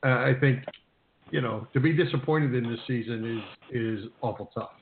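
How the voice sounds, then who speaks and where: A man's voice: medium pitch, moderate pace, slight background noise. An American man hosting a casual sports talk show, conversational and a bit rambling as he repeats words. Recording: roomy, phone-like narrowband radio line.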